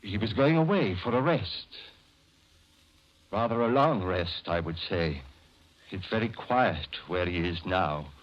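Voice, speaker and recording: A man's voice: deep voice, animated, measured pace, long pauses. Jittery madman murderer, wildly swinging delivery. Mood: smug, guarded. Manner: theatrical, overpolite, hesitant and jittery. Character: nervous madman murderer feigning genteel calm, hiding guilt. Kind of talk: 1940s radio acting, suspense monologue and dialogue. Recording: noisy, muffled old radio recording.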